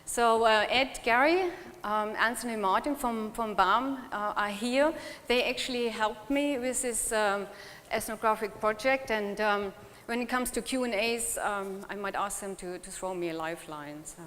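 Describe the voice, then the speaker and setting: A woman's voice: a little high, moderate pace, a bit bright, slight background noise. A middle-aged woman from Germany with a German accent, giving a chatty conference talk in which she jokes and asks the audience questions. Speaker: conference speaker. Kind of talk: conference presentation. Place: conference hall. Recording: long hall reverb.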